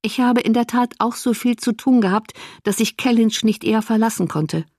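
Woman voicing patient, sensible young sister, higher voice. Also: moderate pace, darkish tone, no echo, studio quality, close to an everyday voice. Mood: patient reasoning. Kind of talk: audiobook character dialogue.

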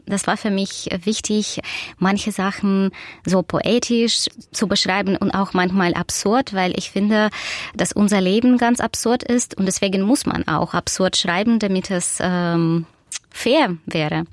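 A woman's voice: mid-range voice, measured pace, no room sound. Hesitant woman author, very dry sound. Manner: thoughtful, hesitant with frequent fillers. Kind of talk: interview about her novel.

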